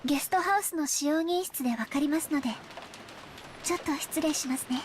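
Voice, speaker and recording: An actress playing a gentle young maid in a visual novel, speaking very politely and deferentially, eager to serve. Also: female voice, high voice, racing, lightly acted. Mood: polite, helpful. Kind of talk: anime visual novel voice acting. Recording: clear rain noise over the voice.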